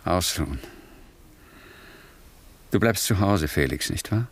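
A weary older father in a radio drama. His voice is low and gravelly, and he talks slowly, tired and troubled. The brooding delivery is in 1960s radio style. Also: lively intonation, full character voice.

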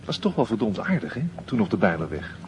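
An actor playing a chatty, gossiping teenage schoolboy with a casual, slangy manner in a Dutch radio play. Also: male voice, lowish voice, very animated, fast, slight background noise. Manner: casual, swearing, teasing slang.

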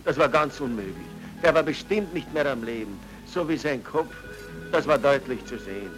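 An actor playing a nervous, evasive, blustering Viennese witness in an old German radio play, speaking agitated, repetitive colloquial dialect. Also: male voice, mid-range voice, lively intonation, normal speed, a bit bright, soft background music, natural voice. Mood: insistent. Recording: faintly noisy old radio drama recording.

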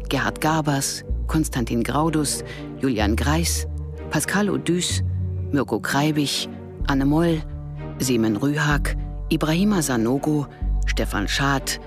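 A male radio drama announcer reads out credits formally. He goes very slowly, in a somewhat high male pitch, with instrumental music clearly playing behind him. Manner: measured, formal listing. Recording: prominent instrumental music under voice.

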